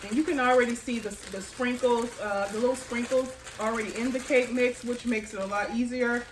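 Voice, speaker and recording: A woman's voice: fairly high pitch, steady tone, a little fast. An American woman, chatty and informal with a congested nose, hosting a casual baking tutorial for her viewers. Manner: chatty, informal, addresses viewers as "you guys". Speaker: home baker and cooking channel host. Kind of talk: casual cooking tutorial chat. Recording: echoey room with faint background noise.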